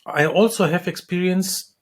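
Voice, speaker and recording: A man from the Republic, a dentist and lecturer, answering interview questions with many pauses, ums and restarts. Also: sits high. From Czech Republic. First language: Czech.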